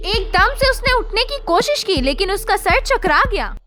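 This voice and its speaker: A woman's voice: extremely high pitch, very animated, normal speed, pushed and bright, soft background music. A woman narrating a children's moral story in a scripted storytelling style.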